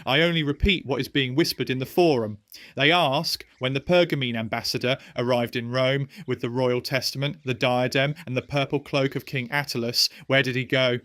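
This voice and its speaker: A man's voice: medium pitch, steady tone, moderate pace, a bit bright, no room sound, clean studio sound, lightly acted. An actor in a historical audio drama playing an agitated, argumentative young Roman nobleman who speaks earnestly and urgently. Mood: accusing.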